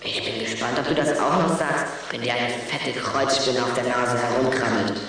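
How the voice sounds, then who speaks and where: A man's voice: mid-range voice, fairly even intonation, normal speed, a bit bright, lightly acted. A man playing a wary, cheeky schoolboy in a German audio drama, questioning back sceptically. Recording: echoey, distant, faint noise.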